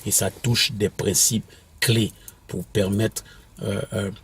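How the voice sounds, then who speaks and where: A minister from Quebec, whose first language is English, answers interview questions in a halting way, with many restarts and fillers. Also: male voice, on the low side, flat delivery, gravelly, fairly bright tone, breathy edge. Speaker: apostolic minister and author.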